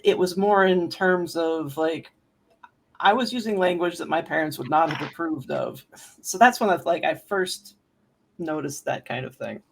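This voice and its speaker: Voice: medium pitch, moderate pace, vocal fry. A speaker with a male-sounding voice telling personal stories casually, in an informal and candid way, as a panelist.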